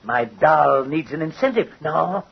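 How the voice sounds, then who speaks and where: Vengeful doll-maker, muffled old radio horror. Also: male voice, a little high, lively intonation, measured pace, creaky. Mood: menacing, exultant. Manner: theatrical, menacing, mocking. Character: vengeful, gloating male doll-maker and murderer.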